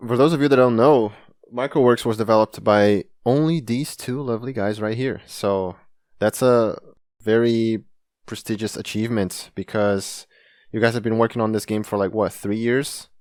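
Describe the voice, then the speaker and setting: A man's voice: fairly low pitch, fairly even intonation, normal speed, a little mellow, no echo, clean studio sound. A man hosting a podcast, a game translator whose first language is Brazilian Portuguese, chatting in a friendly, enthusiastic way. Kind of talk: casual podcast interview.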